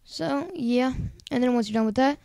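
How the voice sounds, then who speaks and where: Chatty male tutorial host, squeaky high voice with a soft, mellow edge, dry close sound. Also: normal speed, vocal fry, no echo. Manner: rambling, chatty, lots of filler words. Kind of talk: casual screen-recording tutorial.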